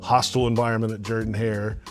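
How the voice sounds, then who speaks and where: A man's voice: on the low side, level tone, quick pace, darkish tone. Rambling man from Alabama, music under. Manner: rambling, with many fillers and restarts. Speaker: play-by-play radio announcer. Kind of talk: casual interview answers.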